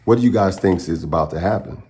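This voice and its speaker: A man reading a children's story aloud as a storytime host, with dramatic, pausing phrasing aimed at young listeners. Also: low-pitched, darkish tone. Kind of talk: expressive picture-book read-aloud.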